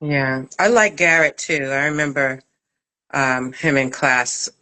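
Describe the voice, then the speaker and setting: A woman hosting a casual chat as interviewer and acting teacher, speaking in an expressive, emphatic, slangy way. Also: rumbling low, measured pace, rough recording.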